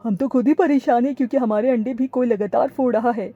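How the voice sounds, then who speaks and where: Lady doing a gentle anxious parrot guy, kids cartoon, soft dark tone, muffled recording. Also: fairly high pitch, quick pace, soft background music. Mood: worried. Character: mild male parrot, anxious egg-guarding bird. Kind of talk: cartoon story character voice.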